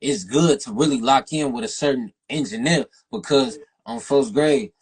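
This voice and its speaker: A man's voice: mid-range voice, normal speed, darkish tone, breathy edge, low-quality recording. A young man hosting a radio interview, talking loose and slangy with lots of "you feel me". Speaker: radio show host interviewing an artist. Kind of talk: casual interview chat.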